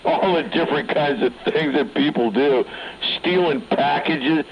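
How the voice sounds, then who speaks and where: Unhurried man on noisy CB radio. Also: on the high side. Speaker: CB radio operator. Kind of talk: casual chat, storytelling.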